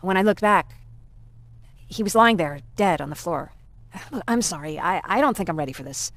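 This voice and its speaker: A woman playing a weary, grieving young survivor in a video game, hesitant and soft-hearted. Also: fairly low pitch, lively intonation, normal speed.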